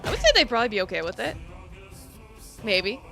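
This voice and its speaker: A woman's voice: a little high, very animated, brisk, vocal fry, a bit bright, soft background music. A woman streaming a game, chatting casually with viewers in a giggly, rambling way full of fillers. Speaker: VTuber streamer. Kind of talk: casual live-stream chat while gaming.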